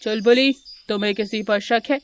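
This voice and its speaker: A stern lion king from a children's cartoon, acted by a woman, mid-pitched and flat in melody. He is commanding and quick to anger, with faint noise behind the voice. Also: lightly acted.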